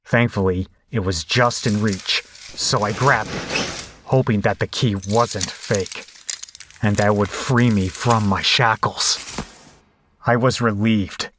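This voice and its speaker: A man's voice: fairly low pitch, steady tone, slow, background noise. A man playing a shaken horror survivor, narrating a bloody escape in a grave, dramatic audio drama style. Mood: weary relief.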